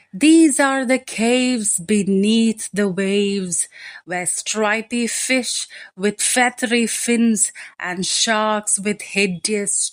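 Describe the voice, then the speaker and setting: A woman reading a rhyming picture book aloud as a bedtime story, slow, gentle and rhythmic. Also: mid-range voice. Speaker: storybook reader.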